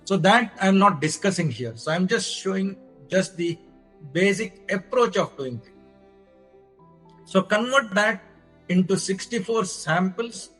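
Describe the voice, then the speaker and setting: An Indian-accented man delivers a technical lecture in a fairly high voice. He speaks slowly and deliberately, laying out each step in short phrases. Faint music sits in the recording. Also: fairly bright tone. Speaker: lecturer presenting own engineering research.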